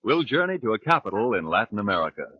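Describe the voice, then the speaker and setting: Male announcer, lively intonation, husky, emphatic sales read, thin vintage radio sound. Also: lowish voice, fast, a crowd in the background. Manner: polished, emphatic sales delivery. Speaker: commercial announcer. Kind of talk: 1940s radio advertising read. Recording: phone-like, dry 1940s radio recording.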